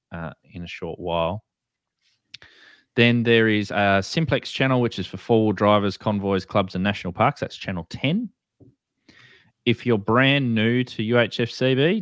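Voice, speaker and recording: A low-pitched Australian man hosts an informal explainer. His delivery is halting, with words repeated as he goes. The sound is close and dry, with very little room in it. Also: fairly bright tone, no echo. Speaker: host. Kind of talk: casual explainer with screen share.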